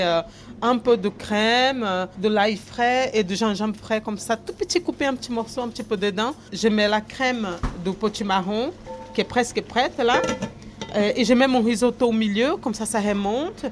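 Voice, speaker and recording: A woman's voice: a little high, normal speed, faint music behind. Brazilian-accented woman chef, chatty. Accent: Brazilian Portuguese. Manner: warm, chatty, many fillers. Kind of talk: casual interview and cooking demonstration.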